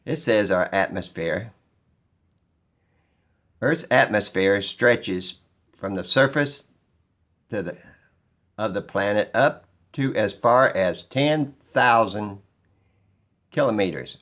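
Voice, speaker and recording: A man talking informally and skeptically as he explains something, halting and pausing mid-sentence as he muses. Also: lowish voice, fairly bright tone. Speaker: video host.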